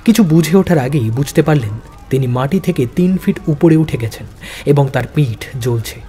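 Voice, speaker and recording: A man's voice: a little high, lively intonation, brisk, dark tone, breathy edge, soft background music. A man narrating a spy thriller audio story in a dramatic, suspenseful manner.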